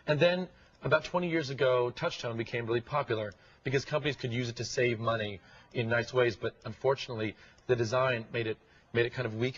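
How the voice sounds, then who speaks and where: A man's voice: medium pitch, a bit bright, husky. A man speaking as a guest expert, explaining things in an interview. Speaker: guest expert on speech recognition. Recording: dull lo-fi broadcast audio.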